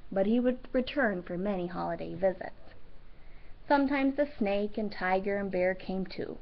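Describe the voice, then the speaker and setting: A woman teacher reading a children's book aloud and commenting on it in an expressive, instructive way. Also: medium pitch, moderate pace, vocal fry. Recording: dull, lo-fi sound.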